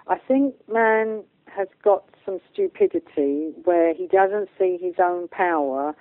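A woman's voice: on the low side, no room sound. A British woman talking as a guest medium in a radio interview, stating things bluntly and with emphasis. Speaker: guest, spiritual medium and channeller. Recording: muffled phone-like line.

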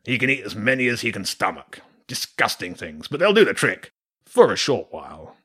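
A man voicing a huge, grumpy, lazy old badger king in a storybook reading, speaking slowly and gruffly with a husky voice. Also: on the low side, very animated, bright, forceful tone, full character voice. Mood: grave. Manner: slow, grumbling, gruff.

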